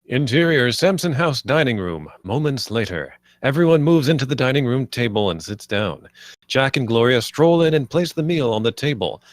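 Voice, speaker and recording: Guy narrating a script at a table read, medium pitch, dry sound. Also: very animated, normal speed, dry recording. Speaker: stage-direction narrator.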